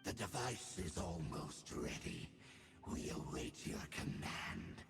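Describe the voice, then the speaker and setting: A man voicing a deferential monster herald of a dark order in a video game, with a gravelly, formal, servile delivery. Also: very deep voice, wildly swooping pitch, takes it slowly, heavily acted. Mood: reverent, servile. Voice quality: gravelly, creaky. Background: game music.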